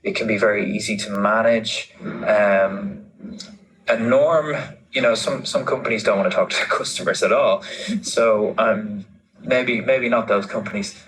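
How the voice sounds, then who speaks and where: A man's voice: on the low side, a little slow, fairly bright tone, poor audio. A man giving informal interview answers, thinking aloud and often repeating words as he explains. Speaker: interviewee, marketing manager.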